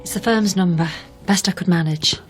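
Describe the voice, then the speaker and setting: An actress playing a caring but sharp-tongued young fiancée in a naturalistic radio drama, pointed and persuasive. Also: female voice, lowish voice, normal speed, music in the background, lightly acted. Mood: gently coaxing.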